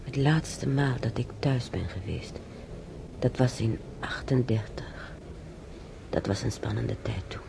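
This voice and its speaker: An actress playing an older woman in a radio play, musing haltingly and sorrowfully over the past in a husky, gravelly voice. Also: very deep voice, whispered, full character voice. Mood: anxious, bitter. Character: older woman, sorrowful, brooding, recalling war years. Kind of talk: radio play monologue, reminiscing.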